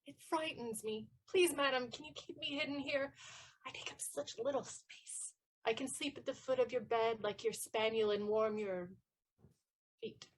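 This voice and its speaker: A woman's voice: fairly high pitch, moderate pace, natural voice. A woman playing a lovesick teenage page boy in an audio play, plaintive and pleading. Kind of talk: stage audio play acting.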